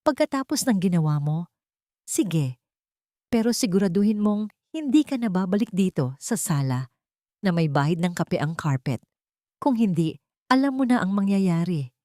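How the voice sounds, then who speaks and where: A woman's voice: fairly low pitch, sing-song, moderate pace, warm tone, no echo, clean studio sound. A woman voicing a scornful, wealthy older mother-in-law who belittles and complains in a storytelling narration. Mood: haughty, threatening.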